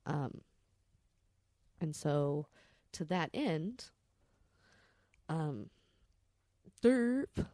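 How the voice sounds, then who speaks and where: A woman's voice: low voice, animated, extremely slow, pauses often, mellow, dry recording, clean studio sound. A woman podcast storyteller telling personal stories in a candid, rambling, salty-tongued way.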